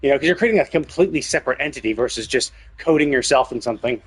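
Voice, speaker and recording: A man's voice: mid-range voice, animated, racing, slightly rough. A man doing casual live-stream commentary on a game, rambling analytically as he thinks aloud.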